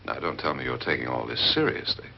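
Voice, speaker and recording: An actor playing an easygoing, skeptical pilot in a 1950s horror film, talking in a casual, hesitant way. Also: male voice, very deep voice, animated, quick pace, creaky, heavily acted. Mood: casual, evasive. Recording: muffled vintage film soundtrack, faint noise.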